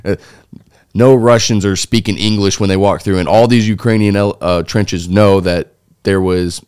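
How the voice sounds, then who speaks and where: A man tells his story to a podcast host in a low, deep voice. He rambles loosely, full of fillers and restarts. The studio mic is close and the sound is dry. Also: fairly even intonation, moderate pace, a little mellow, clear, resonant tone, no room sound. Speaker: interview guest, former foreign volunteer fighter. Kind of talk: casual interview storytelling. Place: small dark podcast studio. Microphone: studio mic on boom arm.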